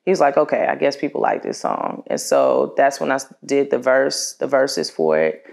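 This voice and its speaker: Low, gravelly-voiced woman telling stories. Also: sing-song, moderate pace, darkish tone. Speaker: singer-songwriter telling the story behind a song. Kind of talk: casual interview storytelling.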